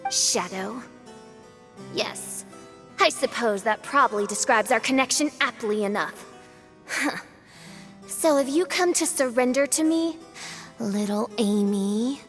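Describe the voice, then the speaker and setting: A woman's voice: sits high, fairly bright tone, exaggerated voice. A woman playing a haughty young princess in a video game, speaking in a pompous, archaic and dramatic way.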